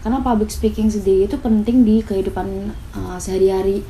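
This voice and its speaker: A young woman with a soft, mellow tone. She chats casually as an interview guest, stressing points with repeated words. Some noise sits behind her voice. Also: mid-range voice, fairly even intonation, fast talker, low-quality recording. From Semarang, Java, Indonesia. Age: 20s. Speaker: interview guest, student radio announcer.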